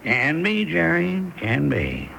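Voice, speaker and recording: Shrewd, folksy Western sheriff, gravelly voice. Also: male voice, on the high side, wildly swooping pitch, takes it slowly, sharp tone, exaggerated voice. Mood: shrewd certainty. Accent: American rural Western. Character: shrewd, suspicious male Old West sheriff. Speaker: actor. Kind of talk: radio western acting. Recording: noisy vintage radio broadcast.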